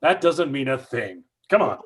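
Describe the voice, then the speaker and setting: A man's voice: medium pitch, sing-song, normal speed, husky. An actor playing a stubborn, skeptical middle-aged father in an old-time radio horror drama, blustering and combative. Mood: impatient, determined. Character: stubborn, skeptical father, angrily sure of a hoax.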